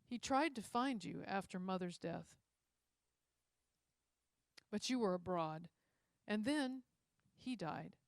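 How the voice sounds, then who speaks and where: A woman's voice: medium pitch, animated, slow, vocal fry, long pauses, dry recording. A woman reader plays a shy young working woman from a storybook. She sounds earnest and a little hesitant, in a dry, close recording.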